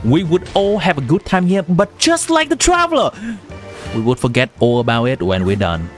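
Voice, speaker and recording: A man's voice: fairly high pitch, wildly swooping pitch, moderate pace. A young man from Vietnam, a native Vietnamese speaker with a Vietnamese accent, chatting casually on a live game stream and answering viewers while giving game advice. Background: game music.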